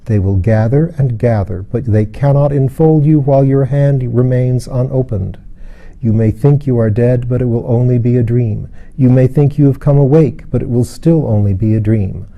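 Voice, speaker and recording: A man's voice: medium pitch, moderate pace, phone-quality sound, close to an everyday voice. A man voicing a stern, solemn woman in a storybook, urging earnestly. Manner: earnest, exhorting.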